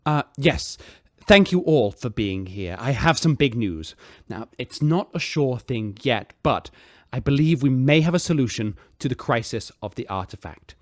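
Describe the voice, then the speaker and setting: An actor playing a self-doubting, poetic spaceship captain in a sci-fi radio drama, rambling theatrically. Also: male voice, medium pitch, animated, moderate pace, no room sound, clean studio sound, close to an everyday voice. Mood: earnest, halting.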